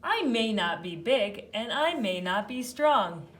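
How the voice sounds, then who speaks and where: Woman as a modest, clever little bat for a picture book; earnest, unhurried. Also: a little high, sing-song, a bit bright, heavily acted. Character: small, modest, clever bat in a children's storybook.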